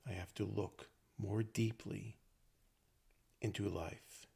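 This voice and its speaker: Very slow, deep, gravelly man guiding meditation. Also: fairly even intonation. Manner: gentle, unhurried phrases with long pauses. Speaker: meditation teacher. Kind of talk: guided meditation.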